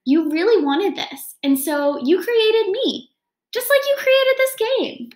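Woman reader as a storybook heroine's older self: high, gentle, reassuring, in an echoey room. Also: lively intonation, slow pace, exaggerated voice. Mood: earnest, encouraging. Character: future adult self of a girl, gentle, knowing.

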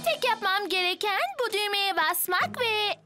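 A woman playing a cautious but spirited young girl, childlike and expressive, in an animated series. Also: very high voice, measured pace. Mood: defensive. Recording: loud music under the dialogue.

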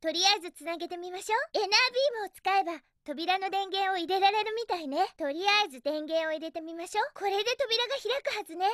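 A woman's voice: very high-pitched, animated, quick pace, few pauses, a bit bright, no echo, clean studio sound. A woman voicing a feisty young female hedgehog heroine in a Japanese video game, with a girlish, emphatic, bossy delivery. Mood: confident, encouraging.

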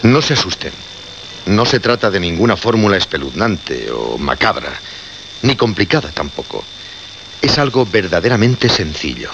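An actor playing a solemn, ominous radio host of the occult in a horror radio play, with deliberate dramatic pauses and repetitions. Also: male voice, low-pitched, big pitch swings. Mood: grave, enticing. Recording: muffled, noisy vintage radio recording.

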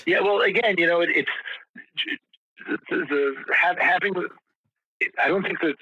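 Older male guest, husky forceful voice, rambling storyteller, dry lo-fi audio. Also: sits high, normal speed, no echo. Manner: rambling, many restarts and repeated words. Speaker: guest musician. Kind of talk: casual interview storytelling.

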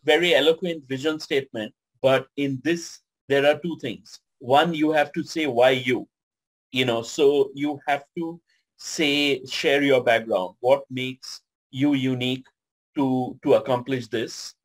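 A man on a video call giving polite feedback on a pitch and asking structured questions. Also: steady tone, a little slow, low-quality recording. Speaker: panelist. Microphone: video call webcam.

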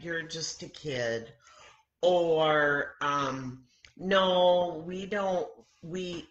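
A woman in her sixties talking and reading to children in simple, deliberate phrases with frequent pauses, as a story time host. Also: lowish voice, a bit bright. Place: home kitchen, indoors. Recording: roomy echoey home webcam recording.

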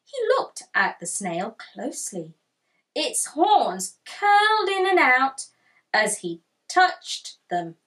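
A woman in her thirties reading a picture book aloud to children, expressive and deliberate, as a storytime host. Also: high voice. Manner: expressive, deliberate, asks listeners questions. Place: small furnished room indoors with bookshelves.

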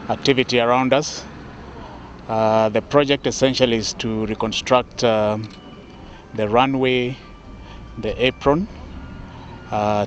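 A man in his fifties with a Kenyan accent gives a measured, formal, off-the-cuff media briefing as an official, often pausing to find words. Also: medium pitch. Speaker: airport authority official giving a press statement. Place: outdoors at an open construction site. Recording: noisy outdoor site, engine and vehicle noise.

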